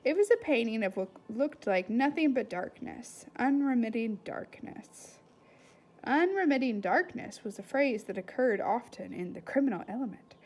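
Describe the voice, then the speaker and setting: A woman's voice: fairly high pitch, expressive, normal speed, a little mellow. A woman reading a storybook aloud expressively, with dramatic emphasis and casual asides to her listeners. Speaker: read-aloud reader.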